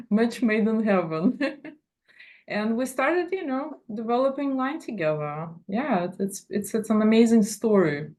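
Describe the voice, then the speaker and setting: A middle-aged woman from Latvia giving casual interview answers, with hesitant, pausing phrasing. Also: on the low side, darkish tone. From Latvia, former USSR. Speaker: fashion designer, interview guest.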